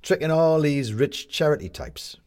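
A man's voice: a little high, normal speed, pitched above the performer's own voice, lightly acted. A man voicing a cheery, talkative stranger in a café queue who loves gossip. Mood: chatty. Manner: chatty and excitable.

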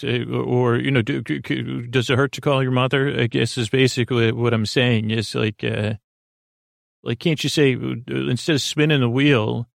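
Creaky, rambling man. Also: no room sound, clean studio sound. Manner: meandering, hesitant, self-interrupting; self-deprecating, low-key. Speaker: host of a sleep podcast. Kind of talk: rambling bedtime monologue.

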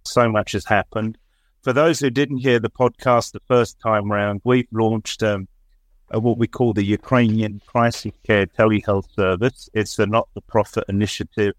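A man speaking as an interview guest, presenting his organisation in a measured, halting and appreciative way. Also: on the low side, fairly even intonation, no room sound. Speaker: interview guest, chief executive of a telehealth service. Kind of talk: spoken interview answer, presenting an initiative.

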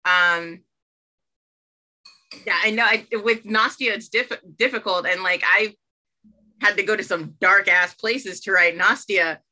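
A woman's voice: medium pitch, measured pace, long pauses, pushed and bright, low-quality recording. A woman hosting a casual interview and asking loose, profane questions full of fillers. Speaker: host and writer.

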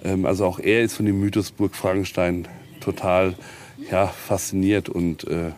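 A man's voice: sits low, little pitch movement, normal speed, a little mellow. A man guiding an informal castle tour outdoors, explaining history in a hesitant way with many ums. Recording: noisy outdoor location recording.

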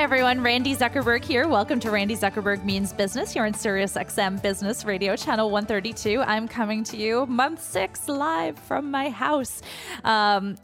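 A woman hosting a radio interview show from her home, enthusiastic and gushing, with frequent "uh"s. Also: medium pitch.

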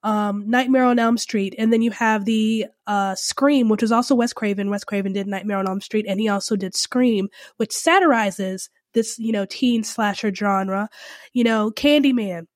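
A woman's voice: medium pitch, normal speed, no echo, clean studio sound. A woman hosting a film podcast, stuffed up from a cold, talking casually and rambling with lots of fillers. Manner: chatty and rambling, many fillers. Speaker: podcast host, film reviewer.

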